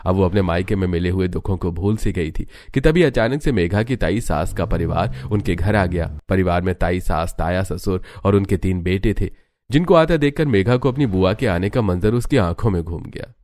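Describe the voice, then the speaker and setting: A man's voice: deep voice, animated, moderate pace, darkish tone, no room sound, studio quality. A man reading scripted narration for a cartoon family story.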